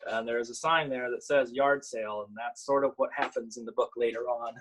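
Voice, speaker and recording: A man who works as a children's author, talking casually and explaining in a halting, conversational way during a storytime. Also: mid-range voice, steady tone, fairly bright tone, slight background noise. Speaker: picture book author and illustrator. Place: at home, online video event.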